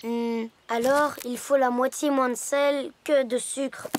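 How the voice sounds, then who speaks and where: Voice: fairly even intonation, takes it slowly, a little hiss or hum. An actor voicing a cautious young hippo boy in a children's cartoon, easily exasperated, with a childlike voice. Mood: earnest, fretful. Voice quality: high childlike voice. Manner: grumbling, reluctant, objecting. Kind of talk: French-dubbed preschool cartoon dialogue. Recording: loud music behind the voice.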